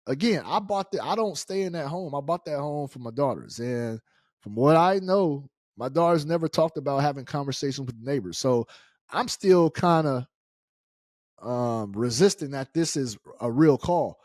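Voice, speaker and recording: A man's voice: on the high side, expressive, moderate pace, a little mellow, no echo, clean studio sound. A middle-aged American man telling a personal story casually and colloquially, acting out the dialogue as he goes. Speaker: storyteller, show host.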